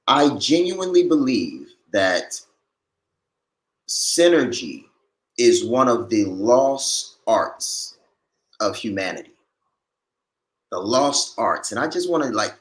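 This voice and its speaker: A man's voice: medium pitch, roomy sound. A man hosting a motivational show, talking in an enthusiastic, conversational way. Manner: enthusiastic, conversational, uses fillers like "like". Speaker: show host. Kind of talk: casual motivational chat.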